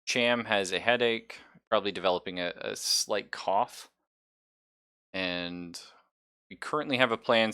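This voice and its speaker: A man's voice: lowish voice, bright, forceful tone, clean tone, no echo, clean studio sound. A man running a tabletop roleplaying game as game master, narrating casually.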